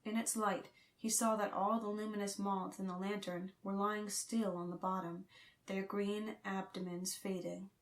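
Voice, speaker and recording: A woman's voice: medium pitch, level tone, moderate pace. A woman reading fiction as an audiobook narrator, in a scripted, dramatic storytelling style.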